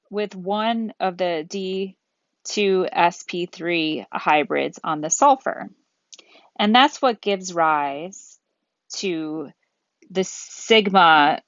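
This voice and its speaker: A woman lecturer explaining material methodically and deliberately, step by step, as she thinks aloud. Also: fairly low pitch, a bit bright, dry recording, muffled recording. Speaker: chemistry lecturer.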